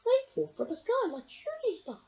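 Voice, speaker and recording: A man voicing an excitable, eager rabbit in a storybook, in a dull lo-fi recording. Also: extremely high pitch, normal speed, pitched above the performer's own voice, heavily acted. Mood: excited.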